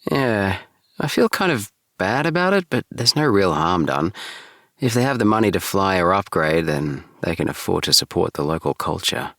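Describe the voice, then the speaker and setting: A man's voice: deep voice, very animated, normal speed, fairly bright tone, no echo, studio quality, voice pushed up, full character voice. A man reading an audiobook, voicing a breezy, teasing young woman with a lifted, playful voice.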